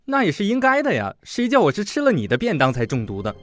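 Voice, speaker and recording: An actor playing a cocky teenage student in a radio drama, sardonic and defiant. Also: male voice, high-pitched, wildly swooping pitch, fast.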